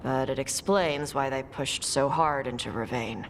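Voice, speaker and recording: An actress playing a blunt, terse young dragon hunter with a defiant streak in a fantasy video game. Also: female voice, extremely low pitch, a little slow, a little hiss or hum. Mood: frank.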